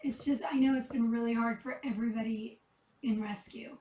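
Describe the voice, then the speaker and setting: A high-pitched woman chats softly about caring for rescue cats, her voice turning a little hoarse. She sits back from the mic. The room around her rings with echo. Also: steady tone, a little fast, far from the mic, a little hiss or hum. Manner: chatty, soft-spoken, talks to the cats between remarks. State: losing her voice, a bit hoarse. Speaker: cat rescuer. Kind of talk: casual chat while tending kittens. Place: kitten room indoors.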